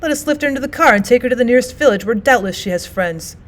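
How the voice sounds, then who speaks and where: A woman voicing a well-bred, sympathetic gentleman motorist in a storybook, speaking with courteous, solicitous exclamations. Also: fairly high pitch, lively intonation, quick pace, natural voice. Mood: concerned, sympathetic.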